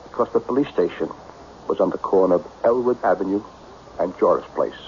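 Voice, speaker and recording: An actor playing a lonely self-made millionaire, blunt, brooding and self-pitying, in an old-time radio drama style. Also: male voice, low-pitched, fairly quick, darkish tone, natural voice. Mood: bitter, dejected. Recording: dull, noisy old radio broadcast.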